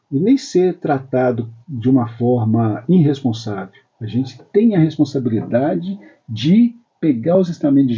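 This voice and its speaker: A man's voice: mid-range voice, dark tone, husky, lo-fi sound. A man hosting a religious talk program in a spiritist center, speaking in a casual, storytelling way with frequent tag questions. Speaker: program host.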